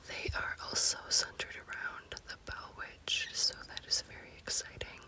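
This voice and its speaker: A woman speaking in a breathy, unvoiced whisper in the style of an ASMR spooky storytelling host, with deliberate phrasing.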